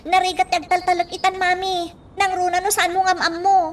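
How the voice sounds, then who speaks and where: A woman's voice: very high voice, level tone, brisk. An actress playing a worried young daughter who cautions her mother in an Ilocano radio drama. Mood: anxious, reproachful. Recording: distant mic, faint background noise.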